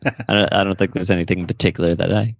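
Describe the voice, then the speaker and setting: A man's voice: low voice, fairly even intonation, racing, gravelly, no echo, lo-fi sound. A man co-hosting a podcast, giving his opinion in casual chat. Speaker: podcast co-host.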